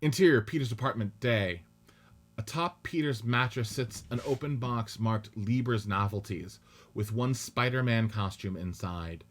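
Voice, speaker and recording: A man narrating stage directions in a measured, matter-of-fact way during a radio play script reading. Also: medium pitch, slight background noise.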